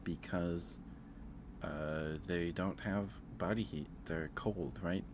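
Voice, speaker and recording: Male ASMR streamer, deep-voiced and slow, rambling informally, with clearly audible ambient noise behind him. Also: steady tone. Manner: hesitant, rambling, repeats phrases. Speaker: livestream host. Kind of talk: casual ASMR livestream chat.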